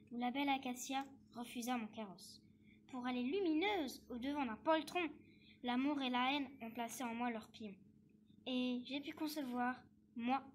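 High-voiced woman as a bitter, scheming war minister. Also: slight background noise. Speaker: actor reading a verse monologue. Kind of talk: theatrical verse recitation.

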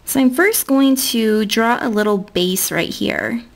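A woman's voice: on the high side, unhurried. A young American woman giving a casual, chatty step-by-step tutorial in an informal way. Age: young adult. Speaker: nail art tutorial host.

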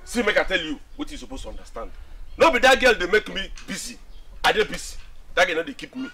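A man's voice: sits high, expressive, quick pace, gravelly, sharp tone, full character voice. A man with a Nigerian accent playing a defensive, scolding young man in a film, speaking emphatically and repeating himself. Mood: annoyed. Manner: repetitive, emphatic, argumentative. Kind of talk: Nigerian film acting in Pidgin dialogue.

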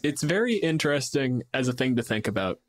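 Male podcast co-host, mid-range voice, talking through game ideas casually with quick acted-out examples. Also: medium pitch, big pitch swings. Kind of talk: casual tabletop gaming discussion.

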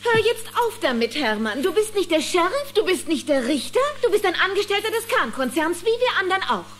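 An actress playing an outspoken, sharp-tongued female clerk scolding away in a children's cartoon radio play. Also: very high-pitched, very animated, brisk, full character voice. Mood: scolding, exasperated.

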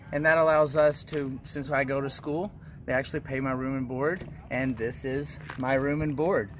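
A man in his thirties speaks in a casual, explanatory way. His voice sits a little high for a man, and faint outdoor noise runs behind it. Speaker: guest, van-life traveler and student. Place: outdoors beside a parked van.

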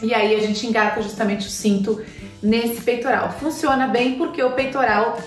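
A woman's voice: fairly high pitch, fairly even intonation, moderate pace, distant mic. Chatty woman vlogging from a sofa. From Brazil. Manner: chatty, explaining with many fillers. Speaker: pet vlogger. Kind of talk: casual advice to camera. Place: living room, on a sofa with dogs. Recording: roomy, from off-mic, soft music.

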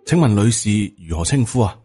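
A pompous Taoist ghost-hunter , voiced by a male narrator, speaks with formal courtesy in Hong Kong Cantonese. He is measured and self-important, a storybook exorcist with music playing underneath. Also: on the low side, lively intonation, warm tone, slightly rough.

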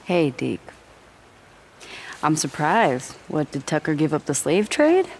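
An American actress playing a frank, no-nonsense woman survivor with a gentle side in a video game. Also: sits low, very animated, takes it slowly, slight background noise, full character voice. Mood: wry, skeptical. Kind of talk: naturalistic cinematic game acting.

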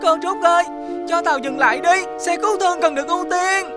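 A woman's voice: very high voice, fast talker. A woman voicing a kind, earnest young schoolboy in a children's cartoon, with a Southern Vietnamese accent. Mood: alarmed, urgent. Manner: earnest, polite. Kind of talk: animated children's cartoon dubbing. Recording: music behind the voice.

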